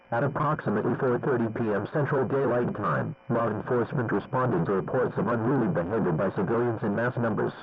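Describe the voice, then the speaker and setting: A synthetic text-to-speech man reading a formal scripted emergency broadcast in a flat, measured, impersonal way. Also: medium pitch. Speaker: emergency alert announcer. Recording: dull lo-fi audio, loud radio noise.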